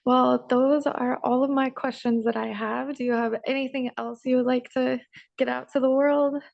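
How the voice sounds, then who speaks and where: A woman's voice: sits high, fairly even intonation, normal speed, gravelly, darkish tone, no echo. A woman interviewing a musician over a video call from a room full of equipment cases, asking hesitant, rambling questions. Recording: dull, dry lo-fi sound.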